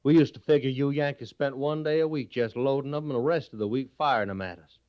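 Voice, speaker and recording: An actor playing a bitter, defiant former prisoner turned cavalry trooper, with a Southern drawl and folksy speech, in 1950s Western radio drama. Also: male voice, mid-range voice, fast talker, darkish tone, smooth, close to an everyday voice. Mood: bitter, resentful. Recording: muffled old radio broadcast recording.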